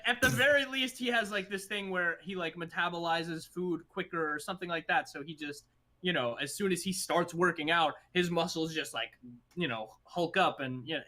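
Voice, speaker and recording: A young man chatting casually in a group, with an animated, emphatic and slangy way of talking. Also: fairly high pitch, moderate pace. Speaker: podcast host.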